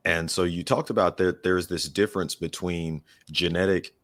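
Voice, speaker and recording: Deep voiced guy hosting a podcast, casual, thinks out loud with lots of restarts. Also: fairly even intonation, moderate pace. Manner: thoughtful, searching questions with restarts. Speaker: podcast host. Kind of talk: casual interview conversation.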